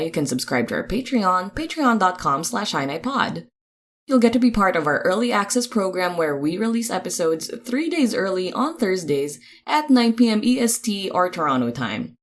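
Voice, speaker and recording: A woman reading podcast credits in a chatty, informal, upbeat announcing style, with expressive delivery. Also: lowish voice.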